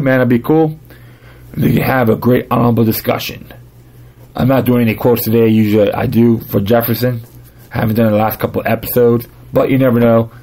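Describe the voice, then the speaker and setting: A man with a dry voice hosting a podcast, reading and commenting informally in a halting way, often stumbling and repeating himself. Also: mid-range voice, a little mellow. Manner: halting reader, stumbling over words and repeating phrases. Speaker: podcast host. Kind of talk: reading news articles aloud with casual commentary.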